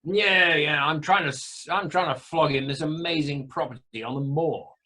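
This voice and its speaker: A man's voice: a little high, moderate pace, bright, forceful tone. A man playing a boastful, flirtatious amateur stage director in a radio play, with a theatrical, exclamatory, self-satisfied manner.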